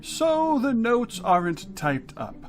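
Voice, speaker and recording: A man playing a grouchy, flustered middle-aged high school principal in a radio comedy, blustering and exasperated. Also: big pitch swings, takes it slowly, heavily acted. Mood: flustered, urgent. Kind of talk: 1950s radio comedy acting.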